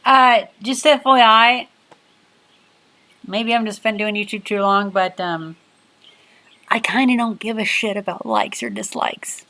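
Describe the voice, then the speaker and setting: A young woman in her twenties hosts a relaxed livestream from her home workshop. Her talk wanders from topic to topic, loose and chatty, full of little fillers. Her pitch sits right in the middle of the range. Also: a little slow, gravelly, long pauses. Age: 20s. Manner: rambling, chatty, many fillers. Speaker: livestream host. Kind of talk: casual livestream chat.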